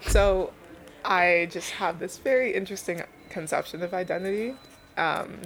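Low-voiced young woman with creaky fry. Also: normal speed, a bit bright, a little hiss or hum, low-quality recording. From Canada, born in Belgium to Nigerian parents. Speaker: interviewed thesis student. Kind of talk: casual interview, explaining own research.

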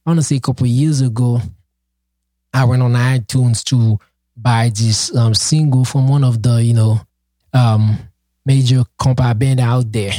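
A man's voice: mid-range voice, steady tone, a little slow, dark tone, dry recording. A man whose first language is Haitian Creole, co-hosting a casual podcast, talking earnestly and passionately with lots of fillers and restarts. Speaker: podcast co-host and project founder.

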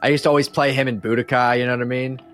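A man's voice: mid-range voice, level tone, rapid-fire, fairly bright tone, faint music behind. A man chatting casually and enthusiastically as a fan and podcast guest, in an informal way.

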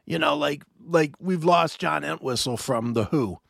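A man in his fifties hosting a casual podcast chat, rambling and animated with many restarts. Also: a little high, unhurried, gravelly, dry recording, clean studio sound. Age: 50s. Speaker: host.

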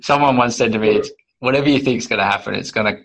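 Gravelly, bright-toned male podcast host. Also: mid-range voice, fast talker, low-quality recording. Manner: casual, encouraging, chatty. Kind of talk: conversational interview.

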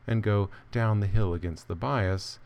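A man reading a literary essay aloud with measured, deliberate phrasing. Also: fairly low pitch, darkish tone. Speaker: reader.

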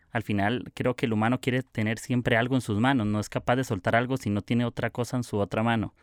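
A man's voice: mid-range voice, no echo, clean studio sound. A young man with a Costa Rican accent hosting his own podcast, talking in a casual, reflective and confessional way. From Costa Rica. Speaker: podcast host.

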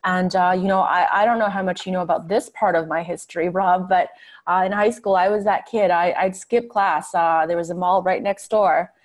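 Woman, raised in Scandinavia, interview guest, articulate storyteller, slightly low pitch. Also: fairly even intonation, normal speed. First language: a Scandinavian language. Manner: articulate, storytelling, with light laughs. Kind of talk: interview conversation.

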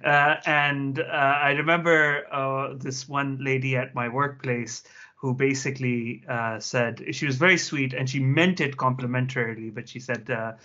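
A man speaking at a middle pitch, spinning a wry, self-mocking story as a panelist. His voice comes through muffled video-call audio. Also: fairly even intonation, measured pace, pushed and bright. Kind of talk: casual anecdote on a video call.

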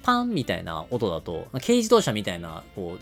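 低めで抑揚豊かな男性. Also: male voice, low voice, wildly swooping pitch, moderate pace, a bit bright, soft background music. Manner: explains by everyday examples, colloquial. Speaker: podcast co-host. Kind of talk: casual conversational explanation.

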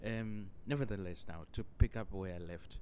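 A man from Zimbabwe, hosting a solo podcast and spinning a long, wandering story. His voice sits a bit low, and he fills every pause with "you know". Faint noise hums under the recording. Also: dark tone. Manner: rambling, leans on "you know" constantly. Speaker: podcast host.

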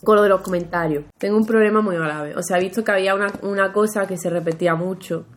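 A woman's voice: mid-range voice, fast talker, slight background noise. A young woman co-hosting a casual podcast, playful and teasing, often breaking into giggles. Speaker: podcast co-host.

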